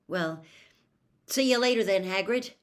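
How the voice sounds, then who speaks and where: Woman reading as a quiet teenage boy, steady, reassuring, audiobook. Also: medium pitch, measured pace, voice pushed up, lightly acted. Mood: calm.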